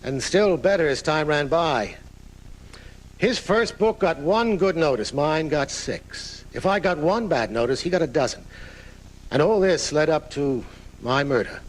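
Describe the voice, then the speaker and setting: A man's voice: on the high side, expressive, unhurried. An actor playing an aging famous writer in a live radio drama, telling his story in a florid, dramatic way. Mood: grandly reminiscing. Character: aging famous male writer recounting his own murder, theatrical. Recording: noisy 1980s off-air radio recording.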